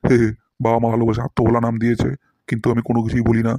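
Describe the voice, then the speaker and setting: A man's voice: mid-range voice, monotone, racing, dark tone, breathy edge, no echo. A man voicing a strict, grumbling history teacher in a comedy cartoon, with a bossy, scolding manner. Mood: stern.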